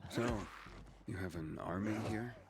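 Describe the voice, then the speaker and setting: A man's voice: low-pitched, measured pace, very noisy. An actor playing a guarded, determined young male pilgrim in a video game, speaking in terse, understated questions. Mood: curious. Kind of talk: video game cutscene acting. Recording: game music behind the voice.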